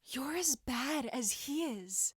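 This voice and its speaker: A woman's voice: high-pitched, expressive, slow, slightly rough, no echo, clean studio sound, voice pushed up, exaggerated voice. A woman voicing a proud, hurt teenage witch girl with a cutting, indignant manner and a slight tremor. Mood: furious.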